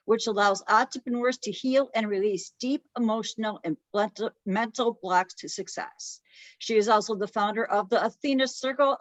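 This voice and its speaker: A woman co-hosting a podcast, reading a formal, measured introduction of her guest. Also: fairly high pitch, fairly bright tone, dry recording. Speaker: podcast co-host.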